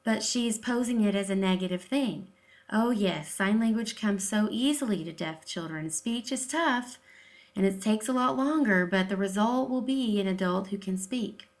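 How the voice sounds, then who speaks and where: A woman's voice: medium pitch, moderate pace. A woman giving informal opinion commentary in an emphatic, sarcastic way, often posing rhetorical questions. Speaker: video commentator, deaf-education advocate.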